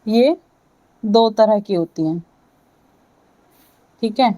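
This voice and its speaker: A woman with an Indian accent lecturing to students, explaining and dictating key points in halting, deliberate phrases with frequent check-ins. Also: mid-range voice, animated, mellow. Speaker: physiotherapy lecturer.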